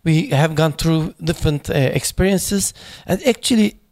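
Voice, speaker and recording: A man speaking at a memorial panel, halting and searching for words, with many fillers and restarts in English that is not his first language. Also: on the high side, lively intonation, darkish tone. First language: not English; foreign accent in Turkish noted.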